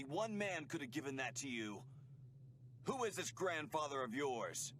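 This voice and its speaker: An actor playing a cocky young bandit in an old anime dub, with melodramatic delivery. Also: male voice, medium pitch, big pitch swings, unhurried, a bit bright, slight background noise. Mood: threatening.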